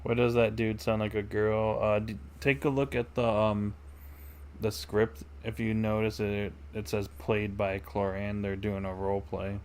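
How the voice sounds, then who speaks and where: A man's voice: on the low side, level tone, measured pace, slight background noise. A man chatting casually with viewers as he streams a game, often thinking aloud. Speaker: livestream gamer. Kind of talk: casual chat with viewers during gameplay. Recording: game music behind the voice.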